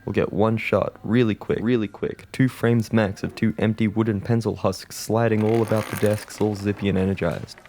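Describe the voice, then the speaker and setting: A man narrating a comic pitch in a deadpan, wordy, theatrical storytelling way. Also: normal speed, few pauses, slight background noise.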